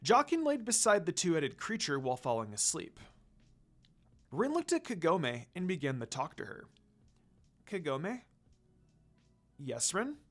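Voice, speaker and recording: A woman reading fan fiction aloud as an expressive storyteller. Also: deep voice, moderate pace.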